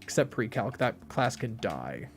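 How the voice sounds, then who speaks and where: A young man in his late teens streaming games and chatting casually, with a deadpan delivery and lots of rambling fillers. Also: mid-range voice, unhurried, faint music behind. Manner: deadpan, flat delivery even when joking; rambling, many fillers and restarts. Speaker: game streamer. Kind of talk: casual live-stream chat.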